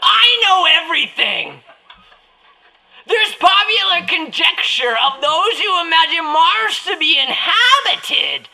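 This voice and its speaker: Radio parody role: eccentric astronomer professor female performer, high-pitched, drawn-out delivery, lewd and theatrical, faint music underneath. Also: sing-song, gravelly, sharp tone, heavily acted, low-quality recording. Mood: pompous, giggly. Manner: theatrical, goofy, reads stage directions aloud. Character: eccentric, boastful, lewd astronomy professor, nerdy oddball man. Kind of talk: parody of 1930s radio drama, partly improvised.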